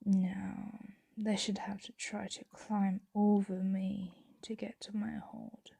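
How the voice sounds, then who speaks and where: Woman voicing a slow, breathy, possessive dragon. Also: mid-range voice, level tone, warm tone, no echo, full character voice. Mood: musing. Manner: slow, deliberate, often dropping into whispers. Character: possessive, doting female dragon hoarding a human captive. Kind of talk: intimate ASMR roleplay.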